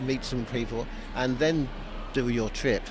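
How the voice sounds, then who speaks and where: Relaxed male radio host, noisy line. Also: mid-range voice, unhurried. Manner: relaxed, hesitant with uh fillers. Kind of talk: radio interview.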